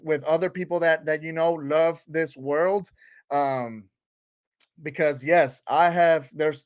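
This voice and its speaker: A man's voice: fairly high pitch, smooth, dull audio. A man from Puerto Rico, a native Spanish speaker with a Puerto Rican accent, hosting a casual live chat and rambling with many fillers and restarts. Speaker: live stream host.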